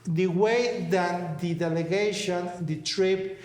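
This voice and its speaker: Mexican-accented man, slightly high, echoey. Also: fairly even intonation, slow, a little mellow. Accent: Mexican Spanish. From Mexico City. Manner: earnest, searching for words in a second language. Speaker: co-host. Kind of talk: conversational interview talk. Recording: echoey room.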